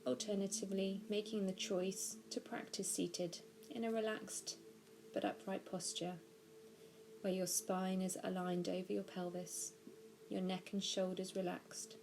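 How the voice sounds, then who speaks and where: A woman narrating a guided body scan meditation, giving gentle, measured instructions slowly with pauses. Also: mid-range voice, creaky, rough recording. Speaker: mindfulness practitioner guiding a meditation.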